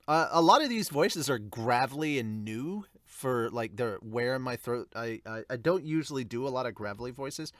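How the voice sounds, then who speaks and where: A man's voice: mid-range voice, expressive, moderate pace, few pauses, fairly bright tone, no room sound. Casual man rambling, very dry sound. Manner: rambling, informal. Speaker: podcast host and manga reviewer. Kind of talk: casual chat to viewers.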